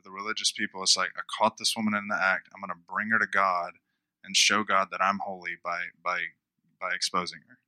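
A man preaching a conversational sermon, repeating phrases for emphasis, with a smooth radio-style voice. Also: low-pitched, flat delivery, a little fast, sharp tone, no echo, low-quality recording. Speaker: pastor.

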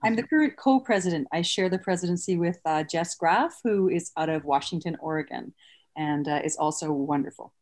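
A woman's voice: fairly low pitch, brisk, a little mellow. A middle-aged woman from the East Coast, chatting casually and easily as an interview guest. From East Coast of North America. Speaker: guest, elementary art teacher.

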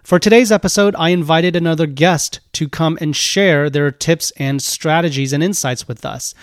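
A man with a hoarse voice hosting a finance podcast and interviewing a guest, upbeat in his intro. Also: fairly high pitch, moderate pace, no room sound, clean studio sound. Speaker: podcast host.

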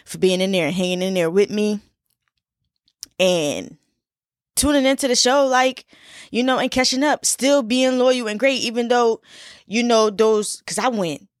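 A woman's voice: fairly high pitch, fairly even intonation, unhurried, long pauses, no echo, studio quality. A woman in her twenties from Philadelphia, hosting a casual solo podcast in a chatty, laid-back way with lots of fillers and repeats. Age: 20s. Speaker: podcast host.

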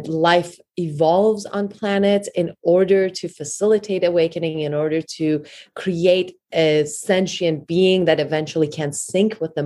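A woman with a low voice speaks as an interview guest, explaining things in an earnest, steady way. She fills pauses with many small "uh"s and "um"s. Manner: earnest, steady, with frequent fillers. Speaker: author and meditation teacher.

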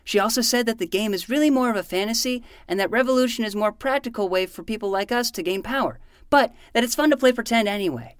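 A woman's voice: a little high, fast talker, few pauses, no echo, studio quality, natural voice. A woman voicing an earnest, precocious twelve-year-old boy in a fiction podcast, with a matter-of-fact manner.

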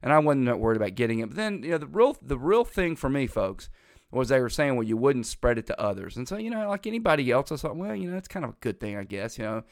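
A man's voice: medium pitch, very animated, fast talker. A middle-aged man hosts a talk show, his voice a bit congested from a cold. He rambles in a chatty, folksy way and keeps calling his listeners "folks." The sound is dry and close, with no room echo. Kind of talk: casual political talk monologue.

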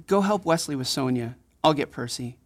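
A protective friend in an audio drama, with a low voice over music. She is direct and urgent, speaking in short firm instructions. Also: expressive, moderate pace. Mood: firm, concerned. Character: firm, protective woman talking down a distressed friend.

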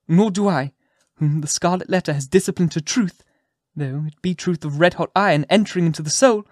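A man's voice: medium pitch, darkish tone, slightly rough, no echo, clean studio sound, natural voice. A man voicing an anguished but resolute woman who pleads with dignity in impassioned, archaic storybook speech. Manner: archaic, impassioned, emphatic delivery.

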